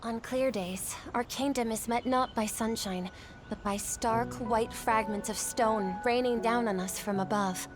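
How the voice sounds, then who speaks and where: A woman's voice: on the high side, fairly even intonation, a little slow, close to an everyday voice. A woman voicing an earnest, gracious young noblewoman in a video game cutscene, speaking in a formal, articulate way. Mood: grave, sorrowful. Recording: music behind the voice.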